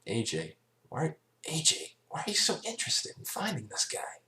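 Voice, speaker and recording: A man's voice: on the high side, wildly swooping pitch, normal speed, sharp tone, roomy sound, a little hiss or hum, pitched above the performer's own voice, exaggerated voice. A man voicing a brash, loyal young female pegasus pony who talks casually and directly, in a story reading. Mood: questioning.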